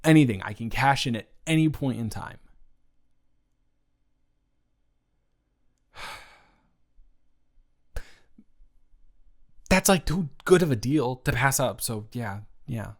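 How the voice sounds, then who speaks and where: A man's voice: mid-range voice, expressive, moderate pace. A young man playing a nervous, joking roommate and close friend in an improvised audio roleplay, stammering and rambling hesitantly.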